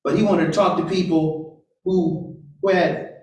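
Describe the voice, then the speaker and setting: A man's voice: a little high, fairly even intonation, a little slow, darkish tone. Man preaching in an echoey hall. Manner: asks rhetorical questions and tags sentences with "right?". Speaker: pastor or Bible teacher. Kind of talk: interactive sermon. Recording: roomy echo, far from the mic.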